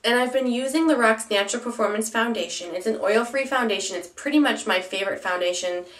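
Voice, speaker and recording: A woman's voice: on the high side, quick pace, fairly bright tone, recorded from a distance. Nasal young woman with a cold, echoey room. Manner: chatty, with frequent ums and restarts. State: stuffy-nosed from a cold. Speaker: beauty vlogger. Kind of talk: casual product review.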